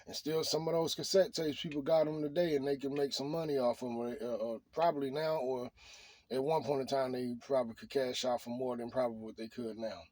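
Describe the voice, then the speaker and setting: A man's voice: medium pitch, fairly even intonation, fairly quick, a little mellow. A middle-aged man talking off the cuff in an opinion monologue, preachy and emphatic, often repeating his phrases.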